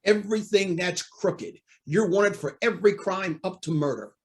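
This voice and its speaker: An actor playing a proud, anxious, cornered judge in an old-time radio drama, speaking in a formal, indignant, theatrical way. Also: male voice, high-pitched, animated, moderate pace. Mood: startled, indignant.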